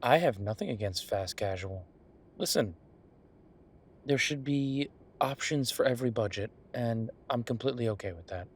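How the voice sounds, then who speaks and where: A man playing an easygoing, devoted boyfriend who is a small-town chef, talking casually and haltingly in an intimate, reassuring roleplay audio. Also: medium pitch, lively intonation, slightly rough. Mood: earnest, reassuring. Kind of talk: intimate conversational roleplay acting.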